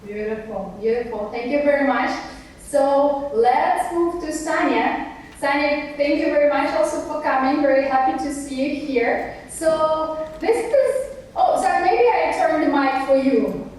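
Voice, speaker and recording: Upbeat female moderator, high-pitched, distant in a reverberant hall. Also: lively intonation, darkish tone. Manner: upbeat, repeats praise, brisk transitions. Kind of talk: panel moderation. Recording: echoey hall from far off.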